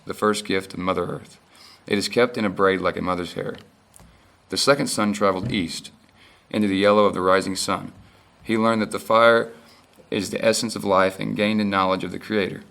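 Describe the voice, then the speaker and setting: A young man student reading a creation myth aloud for a class, steady with occasional stumbles. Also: deep voice, brisk, vocal fry. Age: young adult. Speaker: student reader.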